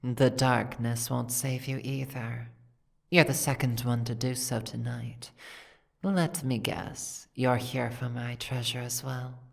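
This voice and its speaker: A woman voicing a possessive, regal dragon princess in a fantasy audio roleplay, speaking in a formal, imperious, measured way. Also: rumbling low, flat delivery, creaky, a little mellow, heavily acted. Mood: suspicious, amused.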